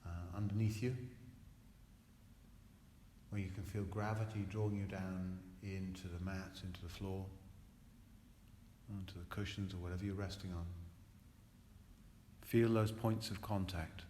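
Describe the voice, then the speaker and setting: A man with a low voice leads a guided meditation in a very reverberant room. He speaks soothingly and hypnotically, repeating phrases and leaving long pauses as a relaxation teacher would. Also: steady tone, mellow. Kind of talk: guided relaxation meditation, spoken live to a group. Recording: echoey room with long reverb, faint noise.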